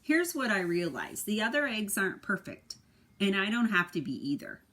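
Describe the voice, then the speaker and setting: A woman voicing an earnest, worried male egg who tells his own storybook tale, with expressive, dramatic delivery. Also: medium pitch, normal speed. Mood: content.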